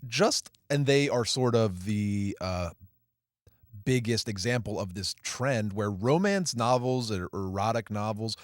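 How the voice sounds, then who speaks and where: A man's voice: lowish voice, lively intonation, unhurried, no echo, clean studio sound. A man co-hosting a movie podcast, explaining in casual chat with short agreeing replies. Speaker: podcast co-host.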